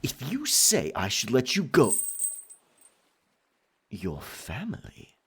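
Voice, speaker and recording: A man playing a possessive, roguish pirate captain in an ASMR roleplay, theatrical, teasing and dominant, with a husky, breathy voice. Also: mid-range voice, wildly swooping pitch, takes it slowly, fairly bright tone, heavily acted. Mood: suspicious, stern.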